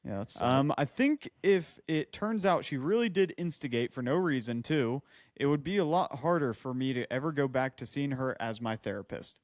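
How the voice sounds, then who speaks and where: A man's voice: medium pitch, animated, moderate pace, dry recording, studio quality. A man co-hosting a casual comedy podcast, bantering and reading aloud, breaking off into quips and asides. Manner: interrupts his own reading with quips and asides. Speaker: podcast co-host.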